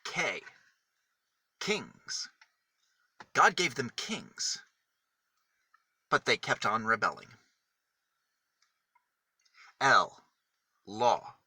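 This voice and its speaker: A man reading a picture book aloud for small children, with deliberate, emphatic phrasing that sets single words apart. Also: medium pitch, big pitch swings, sharp tone, slightly rough, no room sound. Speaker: children's storybook reader.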